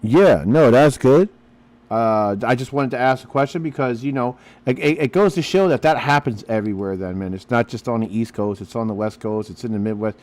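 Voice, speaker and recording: A man's voice: mid-range voice, brisk, a little mellow. A man from Pennsylvania hosting a radio interview, rambling and getting preachy between his questions. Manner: rambling, preachy, lots of fillers. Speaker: radio host. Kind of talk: casual interview chat.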